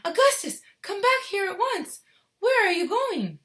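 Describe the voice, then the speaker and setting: A woman's voice: extremely high pitch, big pitch swings, unhurried, fairly bright tone, pitched above the performer's own voice, heavily acted. A woman voicing a panicky, bossy mother who screams and snaps indignantly in a children's storybook read-aloud. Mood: frantic demanding.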